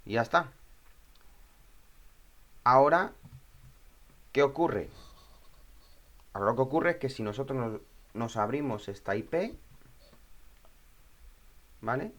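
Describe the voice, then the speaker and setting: A man presenting an informal step-by-step software tutorial, thinking aloud and often checking in with short questions. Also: mid-range voice, lively intonation, slow, long pauses.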